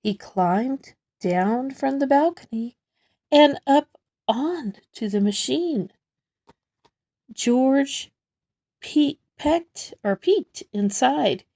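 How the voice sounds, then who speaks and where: A woman reads a picture book aloud for young children. Her voice is soft-edged and mellow, moving slowly with animated, expressive pitch. She leans on key words as she tells the story. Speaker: storybook reader. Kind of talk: children's read-aloud narration.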